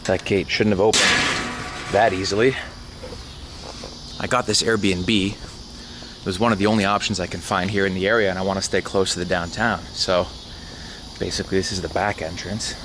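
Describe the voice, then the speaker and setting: A man's voice: sits low, fairly even intonation, normal speed, pauses often, heavy background noise. A man talking casually into his camera as a travel vlogger, laid-back and sometimes swearing. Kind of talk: casual travel vlog with chat.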